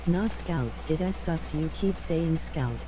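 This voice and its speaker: A woman's voice: low-pitched, unhurried, background noise. A woman chatting casually and teasingly during a gaming session.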